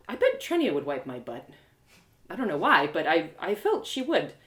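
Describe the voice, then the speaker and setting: A woman reading a first-person fantasy story live, in an expressive, confiding, dramatized narration. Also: on the low side, measured pace. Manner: expressive, confiding, acted-out delivery. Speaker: storyteller and audio drama performer.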